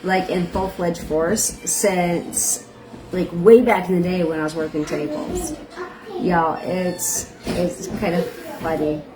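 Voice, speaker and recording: A woman's voice: on the low side, background noise. A middle-aged woman with a strong accent chatting casually on a livestream, giving bread-baking tips and greeting viewers by name. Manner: says she has a strong accent.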